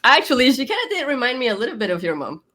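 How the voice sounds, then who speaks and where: Young woman guest with a light Venezuelan accent, Spanish native, chatty and quick to laugh as she tells stories. Casual, rambling interview talk. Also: fairly high pitch, sing-song, sharp tone. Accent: Venezuelan Spanish, softened. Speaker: guest, YouTuber.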